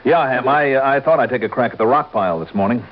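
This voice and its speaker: An actor playing a confident insurance investigator, clipped and pointed, in old-time radio drama acting and narration. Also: male voice, medium pitch, quick pace, lightly acted. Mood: casual, feigned innocence. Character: brisk, fearless male insurance investigator. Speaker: star and narrator of detective series. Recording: muffled old radio broadcast.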